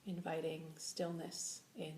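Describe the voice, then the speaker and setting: A woman leading a guided meditation as a teacher, giving slow, gentle instructions with long pauses. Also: deep voice, level tone. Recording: echoey room with faint background noise.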